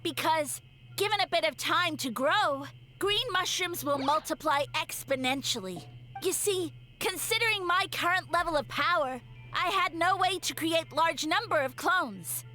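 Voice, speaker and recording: A vain mushroom creature rants about reclaiming its divine power in a game. The voice sits high and bright, theatrical and grandiose, with faint music playing beneath. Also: female voice, measured pace, full character voice. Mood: scheming. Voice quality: grandiose, theatrical villain speech. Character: boastful scheming mushroom creature, fallen would-be god. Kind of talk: game voice acting.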